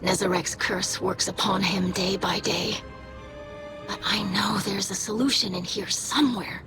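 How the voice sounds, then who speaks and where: A woman plays a soft-spoken young alien scholar, earnest and gentle. Her voice sits mid-range, with clear music underneath. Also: mid-range voice, fairly even intonation, unhurried, natural voice. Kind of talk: game cutscene acting.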